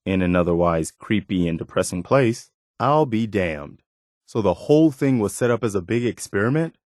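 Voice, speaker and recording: An actor playing an earnest, principled militia companion in a post-apocalyptic video game, sincere and plainspoken with folksy turns of phrase. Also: male voice, on the low side, expressive, normal speed, a little mellow, dry recording, studio quality, natural voice. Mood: observant.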